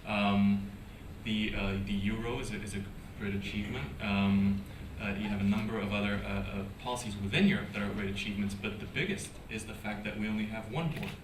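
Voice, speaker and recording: A man's voice: on the low side, steady tone, fairly quick, few pauses. A man speaking on a conference panel, hesitant, with many fillers and restarts. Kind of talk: panel discussion. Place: conference hall. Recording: echoey conference hall from a distance, background noise.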